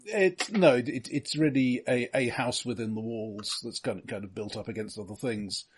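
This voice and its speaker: A man running a tabletop game, describing scenes with many halting restarts. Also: medium pitch, breathy edge. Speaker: game master.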